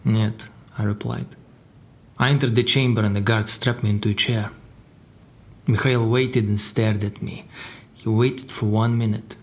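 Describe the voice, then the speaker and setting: An actor playing a crime boss's guarded secretary in an audio drama, telling his story in a dry, deliberate way. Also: fairly low pitch, fairly even intonation. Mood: grave, measured. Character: formidable Russian crime boss's secretary, guarded male survivor. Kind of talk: audio drama dialogue and narration.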